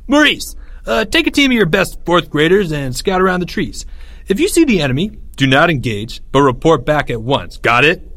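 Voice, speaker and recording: Man as a loud bossy boy general. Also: on the high side, big pitch swings, normal speed, voice pushed up, full character voice. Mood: commanding. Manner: commanding, barking orders. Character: bossy boy general, loud, scornful playground army commander.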